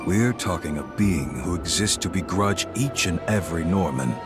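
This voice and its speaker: Low smug game commander, sly and sardonic, voice swings around a lot, music behind. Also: male voice, expressive. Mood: smug, calculating. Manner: suave, sardonic, measured. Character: cunning, smug male warrior commander bent on conquest. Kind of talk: dramatic video game voice acting.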